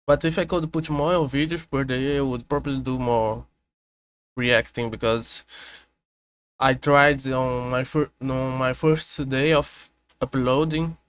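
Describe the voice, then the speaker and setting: A man hosts a casual vtuber stream at home. He speaks slowly at a middle pitch, often stopping to restart or correct his words as he thinks aloud. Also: fairly even intonation, clear, resonant tone. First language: Portuguese. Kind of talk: casual reaction stream chat. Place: home room with air conditioner hum.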